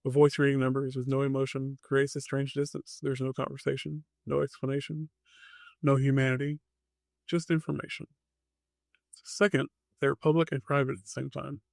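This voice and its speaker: A man's voice: medium pitch, little pitch movement, moderate pace, vocal fry, darkish tone, no echo, clean studio sound. A man hosting a podcast, reading scripted narration in short dramatic phrases with wry asides. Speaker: podcast host.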